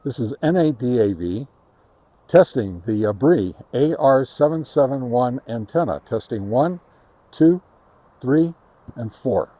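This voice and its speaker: Halting man talking through a field test. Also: medium pitch, expressive, mellow, no echo. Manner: halting, hesitant delivery. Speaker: host of a radio gear review channel. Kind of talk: casual field-test commentary. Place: outdoors in a park.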